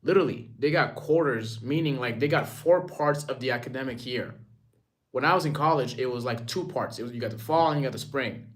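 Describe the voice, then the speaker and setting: A man's voice: mid-range voice, brisk. A young man from Rwanda talking casually and informally to his live-stream viewers, using slang and often repeating himself.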